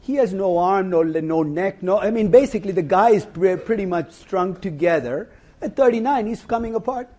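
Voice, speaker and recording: A middle-aged man lecturing a class on finance, husky from losing his voice, wry and conversational, putting questions to his students. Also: sits high, animated, normal speed, warm tone. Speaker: finance professor. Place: lecture hall.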